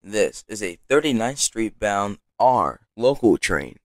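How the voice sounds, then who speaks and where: A man's voice: on the low side, big pitch swings, slow pace, no echo. A man reading scripted subway announcements in a formal way, in segmented phrases.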